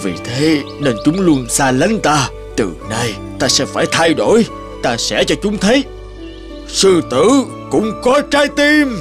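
Man voicing a gruff male lion, lively voice, stammers, cartoon, music behind. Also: on the high side, a little slow. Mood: thoughtful. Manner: blustering, stammering. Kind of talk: cartoon voice acting.

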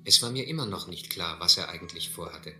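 An actor playing a reflective, educated seaman who narrates his voyage in a measured, literate way in a radio play. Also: low voice, lively intonation, sharp tone, faint music behind, exaggerated voice. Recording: echoey old recording with faint noise.

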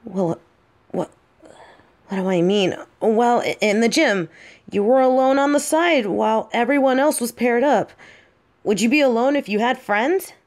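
Woman acting a slow-talking bossy tsundere bully girl. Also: a little high, darkish tone, lightly acted. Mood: awkward, confessing. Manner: bossy, taunting, flustered halting delivery. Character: possessive tsundere bully girl, brash but secretly smitten. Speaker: audio roleplay voice actor. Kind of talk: acted audio roleplay addressed to the listener.

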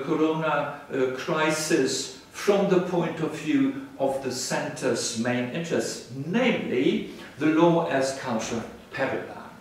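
An older professor lectures in a formal, rhetorical way, pausing on small hesitations as he goes. His voice sits a bit high for a man and carries a strong room echo, picked up by a camera mic set some distance away in a salon. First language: German. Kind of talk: freely spoken video lecture. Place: indoor salon room, seated on a sofa.

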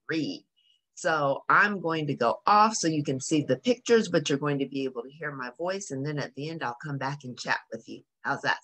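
A woman's voice: low-pitched, fairly bright tone, poor audio. A middle-aged woman reading a children's story aloud, with asides to young listeners. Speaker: storybook reader. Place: small indoor room.

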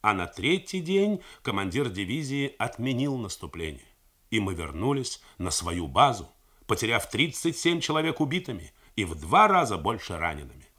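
A man reads as a sober, grave American colonel who speaks in a low voice that rises and falls widely. The delivery is measured and serious, like a reading for a 1980s radio novel. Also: sing-song, sharp tone, slightly rough, exaggerated voice. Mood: recounting.

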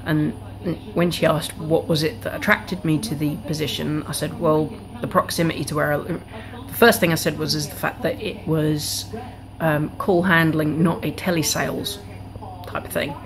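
Low-pitched woman chatting casually. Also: fairly even intonation, moderate pace, rough recording. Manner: hesitant, rambling. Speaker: vlogger. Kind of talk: casual chat to camera. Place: living room indoors. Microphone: camera or webcam at arm's length.

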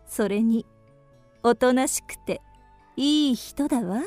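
High-voiced, languid teasing geisha. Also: female voice, takes it slowly, a little mellow, faint music behind. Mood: teasing, forward. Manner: coy, probing, feminine old-fashioned speech. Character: teasing, forward young geisha at a banquet. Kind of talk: radio drama acting.

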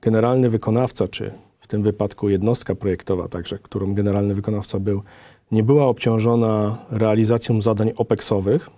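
A middle-aged man delivers a formal professional presentation. His voice is dark and mellow, recorded dry and close, and he sets out his points methodically at a measured rate. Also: fairly low pitch, fairly even intonation, no echo. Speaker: design office director presenting.

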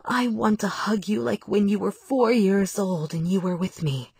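A woman voicing a devout, sorrowful nun in a video game, reading letters to her son in a soft, tender, solemn, pleading voice. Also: medium pitch, moderate pace, no room sound, studio quality. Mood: wistful, solemn. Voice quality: breathy, soft. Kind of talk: letters read aloud in game cutscene.

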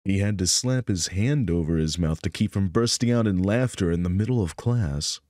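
A man's voice: sits low, normal speed, darkish tone, dry recording, studio quality. A young man narrating a visual novel as a storyteller. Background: music.

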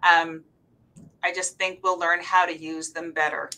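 A woman's voice: on the low side, flat delivery, a little slow, pushed and bright, poor audio. A woman on a faculty panel, talking informally and casually over a video call. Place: office, on a group video call.

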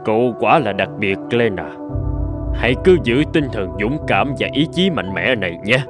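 Man playing a low-voiced, grave cartoon doctor. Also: animated, normal speed, over music, natural voice. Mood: solemn, encouraging. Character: grave, sorrowful middle-aged male doctor. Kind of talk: Vietnamese cartoon voice acting.

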